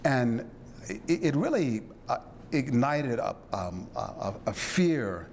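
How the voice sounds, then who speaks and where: A man interviewing a guest, speaking in a reflective, halting way with frequent fillers and restarts. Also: mid-range voice, big pitch swings, a little mellow, slight background noise.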